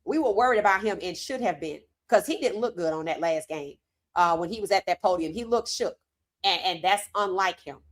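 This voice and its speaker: A woman hosting a sports commentary livestream, hoarse from talking, animated and emphatic with casual slang. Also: on the low side, brisk. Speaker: host.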